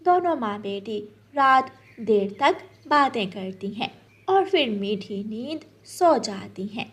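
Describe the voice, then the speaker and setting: Expressive woman narrating a children's story. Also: fairly high pitch, slow, slightly rough.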